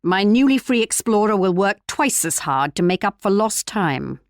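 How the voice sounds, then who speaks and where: A woman's voice: mid-range voice, big pitch swings, normal speed, dry recording. A regal British queen from a strategy game, speaks with formal poise and proud, gracious courtesy. Her delivery swoops expressively through the lines. The recording is dry and close, with no room around it. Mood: gracious, grateful. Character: regal English queen, gracious, proud, politely cutting war ally.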